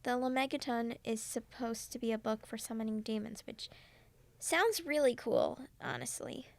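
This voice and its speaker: A woman's voice: on the high side, animated, moderate pace, gravelly. A woman playing an easygoing, supportive student friend in an audio drama, talking in a casual, encouraging way. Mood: casual, upbeat.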